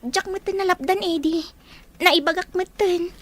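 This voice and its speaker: A woman's voice: extremely high pitch, moderate pace, fairly bright tone. A woman playing a concerned, caring young female student in an audio drama, with an Ilocano Filipino accent. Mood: earnest, caring.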